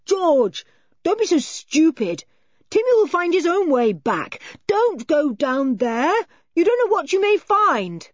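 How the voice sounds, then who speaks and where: Woman as a firm, husky, sensible boy leader. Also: mid-range voice, very animated, moderate pace, darkish tone, no echo, dull audio. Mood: exasperated. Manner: firm, authoritative.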